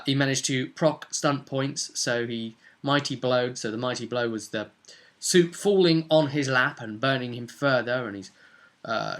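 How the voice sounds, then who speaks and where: A man with a bright, forward voice recounts a tabletop fantasy game as its game master. His storytelling is casual and unscripted, rambling along with halting phrases. The pitch sits in an ordinary middle range for a man. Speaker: game master recapping a tabletop role-play session.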